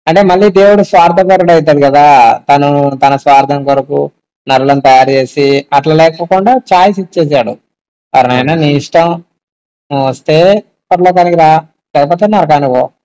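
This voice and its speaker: A man's voice: fairly high pitch, moderate pace, pauses often, dark tone, clean tone. A man talking casually on a phone call about faith, explaining and often asking check-back questions. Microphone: telephone.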